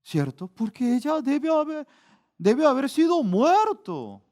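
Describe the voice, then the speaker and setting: A middle-aged man, preaching a sermon in a slow, deliberate way, with sudden loud rises for emphasis. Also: very high voice, big pitch swings. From Korea. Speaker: pastor.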